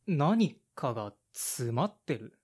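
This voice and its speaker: A man's voice: medium pitch, big pitch swings, takes it slowly, a little mellow. A man playing a blunt, awkward man of thirty-five in an audio drama, in casual dialogue and brooding narration. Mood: defensive. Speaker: lead character and narrator.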